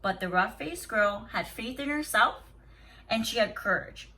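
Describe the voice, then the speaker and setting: A woman teacher reading a story aloud to young children in an expressive, deliberate, instructive way with dramatic pauses. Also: fairly high pitch, slight background noise, rough recording. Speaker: kindergarten teacher.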